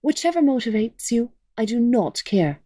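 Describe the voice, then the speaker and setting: A woman's voice: on the high side, big pitch swings, normal speed, mellow, dry recording, studio quality. A woman playing a resolute, guarded young noblewoman warrior in an audio drama, speaking tersely and seriously. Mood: commanding.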